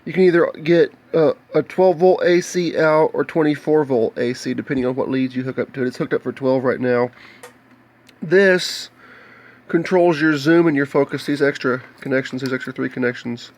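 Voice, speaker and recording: An American man talking casually and off the cuff as he shows electronics, explaining in a halting, plain-spoken way. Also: medium pitch. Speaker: hobbyist video maker showing electronics. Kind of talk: casual unscripted show-and-tell. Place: indoors, cluttered home workshop.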